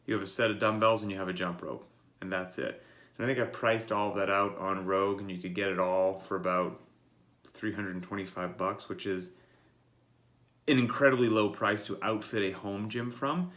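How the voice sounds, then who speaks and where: A man's voice: on the low side, fairly even intonation, moderate pace, fairly bright tone. A man coaching and explaining the day's workout to his members while congested from a cold. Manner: plain, explanatory, talks straight to the listener. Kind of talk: daily workout briefing.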